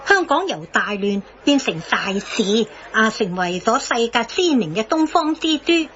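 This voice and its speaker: A woman's voice: on the high side, sing-song, a little slow, fairly bright tone. An actress with a Hong Kong Cantonese accent playing an opinionated, theatrical, argumentative woman in a satirical radio drama. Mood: reflective. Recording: slightly dull radio broadcast.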